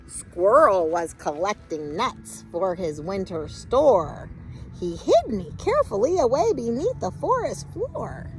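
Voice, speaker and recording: A woman reading a picture book aloud to young children in an expressive, chatty way with casual asides and exclamations. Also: mid-range voice, slow pace, soft background music, poor audio.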